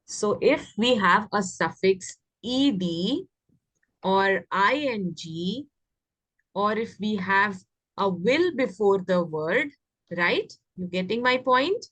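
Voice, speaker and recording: A woman's voice: medium pitch, expressive, takes it slowly, a bit bright, no echo, rough recording. A woman with an Indian accent teaching children English grammar, explaining and often asking questions to check understanding. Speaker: teacher of an online class.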